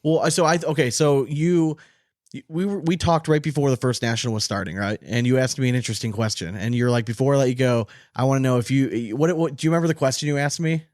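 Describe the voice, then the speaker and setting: A man's voice: mid-range voice, fast, darkish tone, no echo, studio quality. A man hosting a sports podcast in casual conversation, asking long, rambling questions. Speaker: podcast host.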